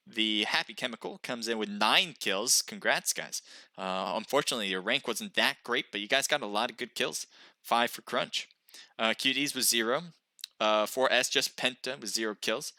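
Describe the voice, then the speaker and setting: Male stream caster, punchy play-by-play, sharp bright voice, dead dry sound. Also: on the low side, no echo. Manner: excited calls during action, chatty when reading chat. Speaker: esports caster and stream host. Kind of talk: live play-by-play commentary.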